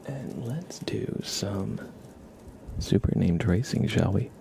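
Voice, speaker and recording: A man hosting an ASMR livestream, chatting casually in a soft, whispery murmur and gently repeating his phrases. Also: on the low side, animated, slow, vocal fry, background noise.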